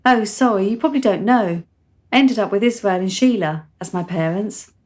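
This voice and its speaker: A woman's voice: mid-range voice, normal speed, a little mellow, lightly acted. An actress playing a frank, cheerful, precociously mature seventeen-year-old country girl in a radio play, articulate and chatty. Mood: cheerful, enthusiastic. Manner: articulate, matter-of-fact, chatty.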